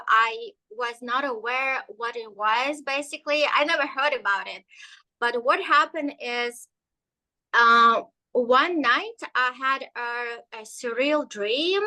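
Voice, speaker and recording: A woman's voice: sits high, takes it slowly, sharp tone, rough recording. A woman whose first language is Russian, telling her story as a guest with frequent hesitations and fillers. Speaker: guest energy healer. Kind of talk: casual interview storytelling.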